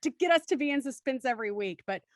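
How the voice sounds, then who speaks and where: A woman with a very high voice hosts a livestream in a dry, close sound. Her manner is bubbly and enthusiastic as she chats with and introduces a cast. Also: expressive, fast, dry recording. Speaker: host introducing an online show's cast. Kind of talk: livestream hosting, chatty banter.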